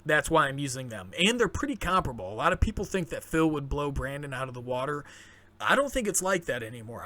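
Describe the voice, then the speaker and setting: Man, fitness host, bright voice, slightly high pitch, chatty, enthusiastic, scratchy voice, casual commentary. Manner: chatty, enthusiastic, many fillers and restarts. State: voice going scratchy.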